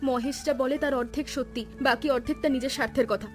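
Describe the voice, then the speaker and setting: A woman voicing a practical, caring village wife in a Bengali cartoon story, brisk and affectionately scolding. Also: high voice, steady tone, faint music behind, natural voice.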